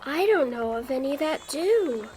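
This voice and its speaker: Woman playing a very high-voiced prim girl. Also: animated, unhurried, darkish tone, slight background noise. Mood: politely curious. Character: polite, curious, outspoken young girl. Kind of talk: audiobook character acting.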